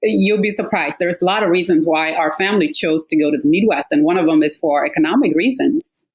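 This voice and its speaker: A woman in her thirties from South Sudan speaks as a guest, her voice sitting a little low. She talks thoughtfully, pausing and restarting as emotion comes through. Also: rough recording. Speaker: author and guest. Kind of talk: conversational interview.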